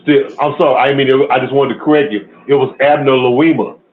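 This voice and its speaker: A man calling in remotely to loudly interject and correct a speaker. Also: mid-range voice, fairly even intonation, fairly quick, roomy sound, phone-quality sound.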